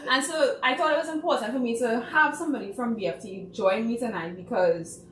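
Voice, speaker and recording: Trinidadian woman guest, animated storyteller, echoey room, mic at a distance. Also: on the high side, brisk, a little hiss or hum. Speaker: guest playwright. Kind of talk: casual chat.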